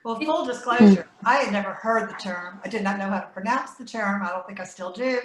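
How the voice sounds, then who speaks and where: A woman's voice: medium pitch, fairly quick. A woman speaks in an echoey room on a video call, with faint noise behind her. Her delivery is casual discussion talk in a mid-range voice. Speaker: book club discussion participant.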